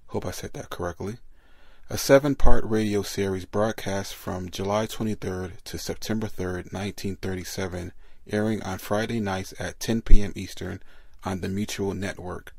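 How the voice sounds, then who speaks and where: Deep gravelly male podcast host. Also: steady tone, normal speed, darkish tone, no room sound. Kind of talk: podcast introduction.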